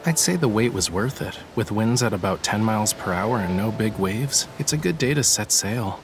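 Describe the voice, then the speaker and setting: A man's voice: on the low side, expressive, normal speed. A young man voice-acting a whimsical painter in a video game cutscene, wry, teasing and nonchalant. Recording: music behind the voice.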